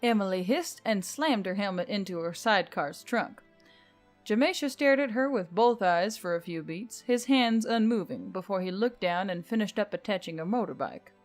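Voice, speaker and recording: A woman's voice: medium pitch, animated, moderate pace. A woman reading scripted fiction as an audiobook narrator. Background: music.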